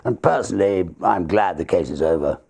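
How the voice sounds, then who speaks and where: Man playing a gravelly, kindly older barrister. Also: low-pitched, expressive, darkish tone, pitched above the performer's own voice, exaggerated voice. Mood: composed. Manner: measured, avuncular, fatherly.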